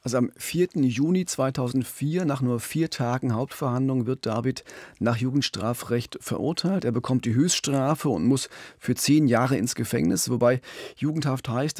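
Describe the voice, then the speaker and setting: A man's voice: medium pitch, vocal fry, darkish tone, no room sound. A middle-aged man co-hosting a true-crime podcast in a conversational storytelling style, close on a studio mic. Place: small radio studio. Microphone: close studio microphone with pop filter, headphones.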